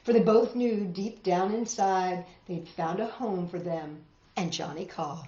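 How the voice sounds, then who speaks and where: A woman reading picture books aloud to children, expressive and exclaiming, lingering on words and adding chatty asides. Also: lowish voice, a little slow. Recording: dull, echoey room recording.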